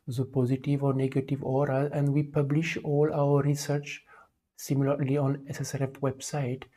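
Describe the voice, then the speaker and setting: A man from France, around forty, with a French accent hosts an online talk. His delivery is even and monotone, with a warm, dark tone. He pauses often and leans on fillers as he hunts for his English words. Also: mid-range voice. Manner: hesitant, with many fillers. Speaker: livestream host. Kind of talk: livestream talk.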